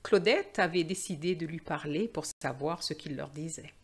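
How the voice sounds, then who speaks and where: A woman teacher reading and presenting in a slow, expressive storytelling style for language learners. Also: low-pitched, slightly rough.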